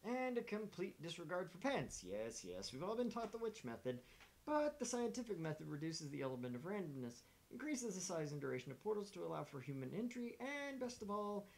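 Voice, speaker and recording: Woman voicing dry, animated occult scientist. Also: on the low side, fairly quick, vocal fry, poor audio. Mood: smug, explanatory. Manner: wry, explanatory.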